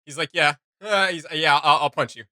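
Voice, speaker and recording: A reaction video host giving casual, conversational commentary, haltingly, searching for words. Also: mid-range voice, bright, forceful tone, dry recording, clean studio sound.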